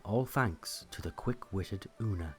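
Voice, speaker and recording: A man's voice: low-pitched, expressive, a little slow, faint music behind. A man giving scripted storytelling narration of a mythic legend.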